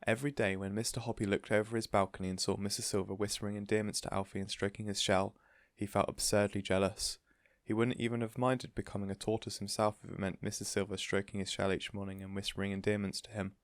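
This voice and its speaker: A man's voice: on the low side, little pitch movement, fast talker, no room sound. A man reading a children's story aloud as scripted audiobook narration. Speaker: audiobook reader.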